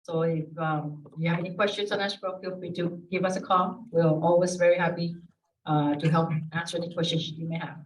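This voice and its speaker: A woman's voice: on the low side, steady tone, fairly quick, recorded from a distance. Chatty woman from Peru, echoey room. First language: Spanish. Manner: chatty, with frequent fillers and restarts. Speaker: escrow officer speaking at an association meeting. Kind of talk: informal self-introduction to an audience. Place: association meeting room.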